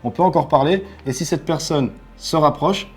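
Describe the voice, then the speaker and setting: A man's voice: fairly high pitch, moderate pace, slightly rough. A man co-hosting a motorcycle channel, giving a casual and enthusiastic product review. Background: background music.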